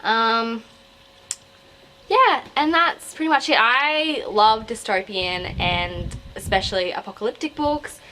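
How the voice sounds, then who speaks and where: A woman's voice: on the high side, animated, slow, pushed and bright, slight background noise, poor audio. A young woman with an Australian accent, talking casually and digressively to camera as a book review vlogger. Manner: rambling, digressive, self-interrupting.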